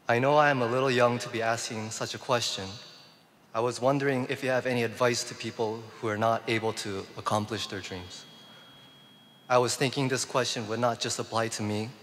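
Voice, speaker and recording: A man's voice: mid-range voice, a bit bright. Young man reading a formal question. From Japan. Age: young adult. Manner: careful, formal phrasing. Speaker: student asking a question. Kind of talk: reading a prepared question in English. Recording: long gymnasium reverb.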